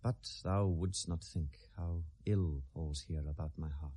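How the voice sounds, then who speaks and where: An actor with a British accent playing a brooding, sardonic young prince in a classical radio drama, theatrical and deliberate in delivery. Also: male voice, a bass voice, warm tone, husky, exaggerated voice. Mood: resigned, uneasy. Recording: 1970s radio drama recording.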